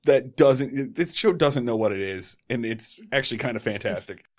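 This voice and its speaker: A man co-hosting a loose, jokey podcast, his voice at an ordinary middle pitch. He rambles through stories with a wry, teasing edge. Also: animated, normal speed. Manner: joking, rambling, bursts of silly singing. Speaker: podcast co-host. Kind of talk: casual chat.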